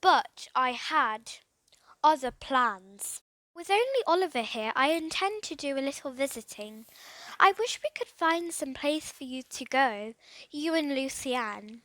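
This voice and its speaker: Woman reading as a grudging, weary schoolmaster, high-voiced. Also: expressive, a little slow, pushed and bright, no room sound, full character voice, rough recording. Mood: grudging, fed up. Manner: formal, grudging. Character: weary, irritated schoolmaster, grown man.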